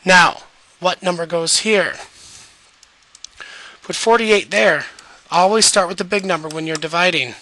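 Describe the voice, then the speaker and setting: A man's voice: sits high, measured pace, pauses often, pushed and bright, poor audio. A man teaching a math lesson over an onscreen whiteboard, losing his voice and patiently guiding students step by step.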